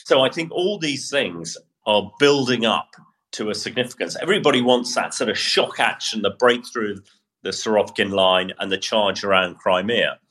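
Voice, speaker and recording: A middle-aged British man explaining military matters as an analyst on a podcast, in a measured, opinionated way. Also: lowish voice, fairly bright tone.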